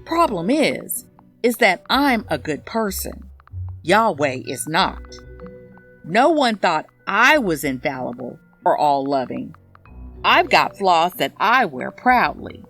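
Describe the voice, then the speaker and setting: Casting: actress as indignant, sardonic woman ranting online, unhurried delivery, lively swooping pitch, comedy audio story. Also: faint music behind, full character voice. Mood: indignant, petty. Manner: sardonic, self-righteous, emphatic. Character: petty, indignant woman posting a social media rant. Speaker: narrator, audio fiction reader. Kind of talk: scripted first-person comedy monologue.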